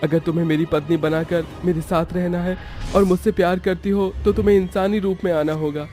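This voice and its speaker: A man's voice: sits high, steady tone, fairly quick, mellow, soft background music, lightly acted. A man voicing a frightened young groom who protests and is prone to stammering, in a horror-comedy cartoon. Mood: firm. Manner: stammering when scared.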